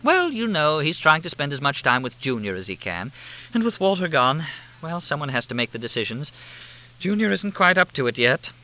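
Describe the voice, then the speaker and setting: A woman's voice: low-pitched, very animated, normal speed, pushed and bright, voice pushed up, full character voice, low-quality recording. A man voicing an effusive, fluttery middle-aged society hostess. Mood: gushing.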